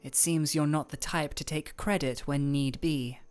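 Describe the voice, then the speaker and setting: A woman playing a cool, composed young ice royal with dry wit in a fantasy audio roleplay, speaking in a measured, formal, teasing way. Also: sits low, steady tone, a little mellow, clear, resonant tone, soft background music. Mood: measured, probing.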